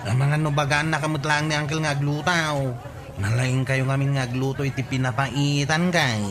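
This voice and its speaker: An actor playing a reluctant, grumbling villager in a comedy radio drama, with an Ilocano accent. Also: male voice, a little high, steady tone, moderate pace, natural voice. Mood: matter-of-fact.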